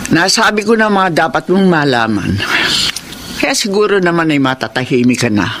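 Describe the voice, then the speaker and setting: A woman playing a middle-aged mother in a Filipino radio drama, confessing secrets in a solemn, deliberate, sorrowful way. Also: sits low, big pitch swings. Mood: firm. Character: older mother confessing long-kept secrets, firm and sorrowful. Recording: loud dramatic music behind the voice.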